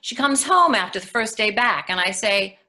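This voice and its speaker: Blunt, practical mother, played by a woman, mid-pitched and crisp-edged, for a comic stage monologue. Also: expressive, normal speed, sharp tone. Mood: matter-of-fact. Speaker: actor in a readers theatre.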